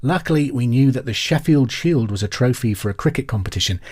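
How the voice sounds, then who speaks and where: Male quizmaster, English accent, mid-pitched voice, conversational and cheery podcast host delivery. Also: animated, quick pace, a little mellow. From England. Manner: upbeat, friendly, conversational. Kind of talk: chatty podcast presenting, reading out listener messages.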